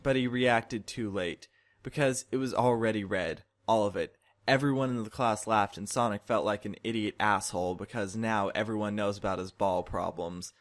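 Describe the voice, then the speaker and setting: A man's voice: on the low side, normal speed. A man reading a fan fiction story aloud in a mock-dramatic scripted narration style.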